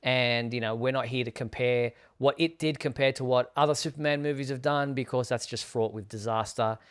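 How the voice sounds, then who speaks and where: Clear, smooth-voiced man hosting fan talk. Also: medium pitch, fairly even intonation, a little fast. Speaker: host of a Superman fan live show. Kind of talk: casual news discussion.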